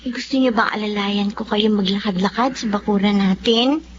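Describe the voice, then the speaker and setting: An actress playing a devoted, tender Filipino daughter in a radio drama. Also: female voice, mid-range voice, moderate pace, natural voice. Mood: tender, caring. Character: devoted, tender daughter caring for ailing father. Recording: muffled recording with faint noise.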